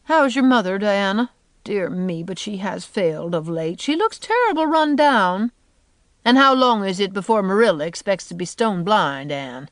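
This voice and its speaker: A woman's voice: mid-range voice, animated, normal speed, dry recording, lightly acted. A woman voicing a sour, gloomy spinster in her fifties, scornful and blunt, with folksy rural phrasing, in an audiobook. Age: 50s. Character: sour, contemptuous, gloom-loving 57-year-old spinster. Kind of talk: audiobook character voice.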